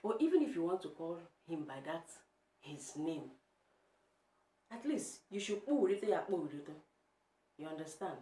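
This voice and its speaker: Low-voiced Nigerian woman vlogging. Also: sing-song, takes it slowly, long pauses, mellow. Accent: Nigerian Igbo. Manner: emphatic, asks the viewer direct rhetorical questions. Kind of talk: casual opinion vlog. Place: home room. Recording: echoey room.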